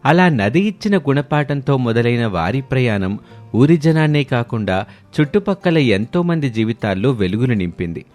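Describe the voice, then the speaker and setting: A man's voice: medium pitch, big pitch swings, moderate pace, darkish tone, faint music behind. A man narrating a scripted moral story in the manner of a storyteller.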